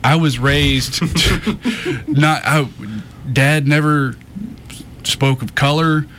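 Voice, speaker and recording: A man in a casual chat, speaking haltingly and restarting his sentences. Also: fairly high pitch, fairly bright tone, a little hiss or hum. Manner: halting, hesitant, restarts often.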